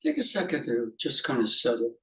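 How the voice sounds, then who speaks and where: A man leading an unscripted guided meditation as a teacher, musing haltingly in short fragments with pauses. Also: mid-range voice, sing-song, bright, forceful tone.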